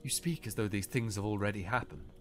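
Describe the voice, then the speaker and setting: Guy acting as a low-voiced ancient king, grave n stately, audiobook with faint music. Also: quick pace, fairly bright tone, close to an everyday voice. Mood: grave, trusting. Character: weary, solemn ancient king, male. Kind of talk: dramatised audiobook dialogue.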